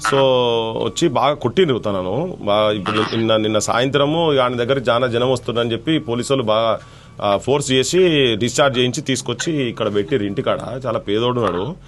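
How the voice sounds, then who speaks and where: A man's voice: mid-range voice, quick pace. A man with a Telangana Telugu accent speaking informally on a phone call, insistent and repetitive, mixing in English words. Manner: insistent, repeats himself, mixes in English words. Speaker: caller raising a complaint on speakerphone.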